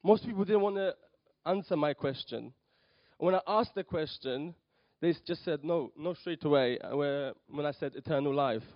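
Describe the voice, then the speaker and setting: Young man, from Poland, preaching, halting delivery, many fillers, muffled. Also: fairly high pitch, no echo. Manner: hesitant, many ums and restarts. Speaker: lay preacher. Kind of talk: nervous church talk.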